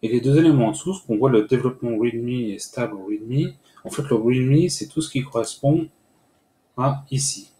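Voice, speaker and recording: A man hosts a screen-recorded tutorial and explains each step slowly, with frequent hesitations. His voice is mellow and warm. The room around him echoes, with a faint hiss behind. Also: medium pitch. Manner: hesitant, many "euh" fillers, step-by-step explaining. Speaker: tutorial host.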